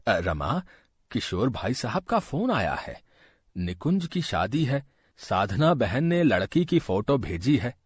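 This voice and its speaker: Man voicing husky, principled family patriarch. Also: medium pitch, very animated, slow pace, no room sound, studio quality, exaggerated voice. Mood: concerned. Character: calm, principled middle-aged father-in-law, family patriarch.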